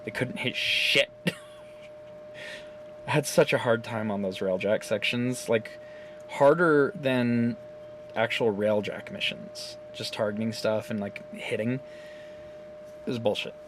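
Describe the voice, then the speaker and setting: A man talks into his phone camera at home in a loose, rambling way, recapping a game's story with plenty of swearing and laughter. His voice sits at an ordinary middle pitch. A faint hum runs underneath. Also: takes it slowly, long pauses. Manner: animated, profane, laughs often. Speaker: gaming video creator.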